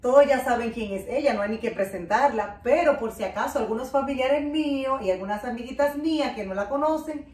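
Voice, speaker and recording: A woman with a Dominican accent and a fairly high voice hosts a casual home vlog. She is recorded at some distance from the camera microphone, and the living room echoes clearly around her. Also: a little fast, few pauses, a little mellow. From Dominican Republic. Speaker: vlog host. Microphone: camera a few meters away.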